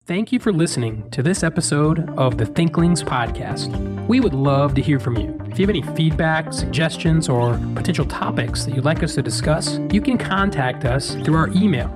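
A man's voice: fairly high pitch, expressive, normal speed, over loud music. A man hosting a podcast in a conversational way. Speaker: podcast host.

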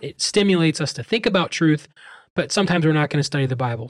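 A man with a voice a little higher than most men's hosts a podcast. He speaks conversationally, and the sound is fairly dry, with little room in it. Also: no echo. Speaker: podcast host.

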